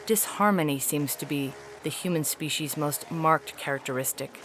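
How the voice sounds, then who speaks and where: A woman voicing a haughty, weary feline alien ambassador in a science fiction audio story, speaking in a formal, measured, articulate way. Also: deep voice, fairly bright tone, a little hiss or hum. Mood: grave disappointment. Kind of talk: dramatic reading of an epistolary science fiction story.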